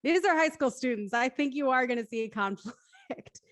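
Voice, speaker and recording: A woman's voice: high-pitched, animated, normal speed, no echo, clean studio sound. A woman hosting a live-streamed show in a casual, chatty and playful way. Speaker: host of a live script-reading show.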